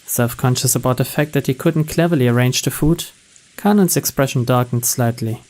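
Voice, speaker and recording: A man's voice: mid-range voice, fairly quick, darkish tone. A man reading a visual novel's story text aloud as scripted narration. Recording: music and rain behind.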